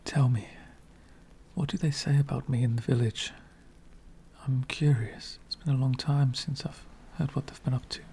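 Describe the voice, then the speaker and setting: A man playing an elegant, centuries-old vampire host in an ASMR roleplay, lonely, wry and courtly, soft-spoken with frequent whispers and gentle condescension. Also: mid-range voice, fairly even intonation, normal speed, a little hiss or hum, natural voice. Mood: curious. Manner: soft-spoken, often dropping into breathy whispers.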